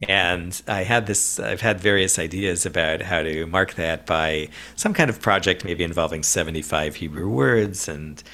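A man's voice: low voice, moderate pace, few pauses, pushed and bright. A man co-hosting a podcast, talking things through thoughtfully, often laughing and restarting his sentences. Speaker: podcast co-host. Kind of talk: casual discussion podcast.

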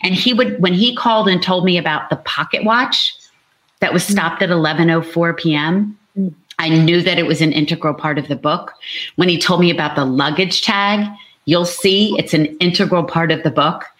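A woman's voice: on the low side, moderate pace, a bit bright. A woman novelist talking about her work on a panel, earnest and storytelling, repeating phrases for emphasis. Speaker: novelist, interviewee. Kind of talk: animated book talk on an online panel.

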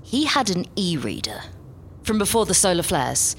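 A woman playing a brisk, scheming but tactful female spaceship captain in a live sci-fi comedy audio drama. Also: lowish voice, sing-song. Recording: live stage recording with faint noise.

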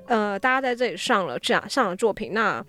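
A woman's voice: mid-range voice, sing-song, brisk, a bit bright, faint music behind. A woman with a Taiwanese Mandarin accent, explaining things casually as an interview guest and thinking aloud as she goes. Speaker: guest, founder of an audio drama app.

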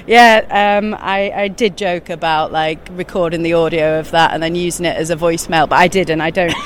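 A woman talking as an interview guest at a busy exhibition show, animated and quick, often laughing mid-sentence. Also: on the low side, a little hiss or hum. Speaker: guest, children's author and eco-journalist. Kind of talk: casual interview chat.

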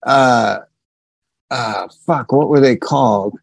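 A man's voice: mid-range voice, takes it slowly, gravelly, breathy edge, no room sound, clean studio sound. A man in his sixties, a podcast host telling rambling, profane stories in casual chat. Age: 60s.

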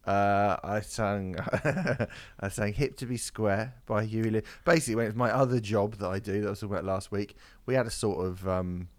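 Male podcast host, loose and chatty, a little rough-voiced, dry studio sound. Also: lowish voice, steady tone, normal speed, dry recording. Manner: swearing, rambling, laughs often. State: voice a bit off after karaoke. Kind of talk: casual podcast chat.

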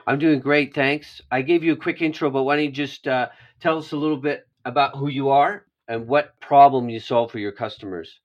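A man's voice: mid-range voice, steady tone, moderate pace, hardly any pauses, muffled recording. A man hosting a business podcast, reading an upbeat scripted intro and sponsor message, then asking interview questions. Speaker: podcast host.

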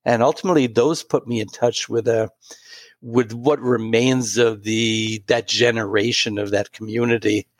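A man in his late sixties telling a personal story in an interview, pausing and restarting often. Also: medium pitch, steady tone, no room sound. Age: 60s. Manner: warm, halting anecdotal storytelling with many restarts. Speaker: guest.

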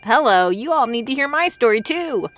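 A woman's voice: on the high side, expressive, moderate pace, a bit bright, slight background noise. A woman radio host who interviews a guest with engaged, affirming interjections and then reads the show's closing lines. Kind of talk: interviewing and hosting.

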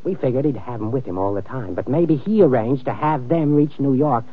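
Male actor doing a crafty crook, persuasive tough talk, medium pitch, old radio drama, bit muffled. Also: a little fast, close to an everyday voice. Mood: scheming, persuasive. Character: shrewd, scheming crook nicknamed Colonel, a man. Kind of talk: old-time radio crime drama acting. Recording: dull old radio recording.

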